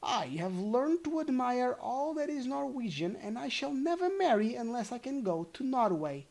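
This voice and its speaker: Young female elf for storybook reading, done by the narrator. Raised pitch, prim, earnest delivery. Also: big pitch swings, normal speed, vocal fry, darkish tone. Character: young elfin maiden, earnest and prim fairy-tale daughter. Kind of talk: storybook character voice.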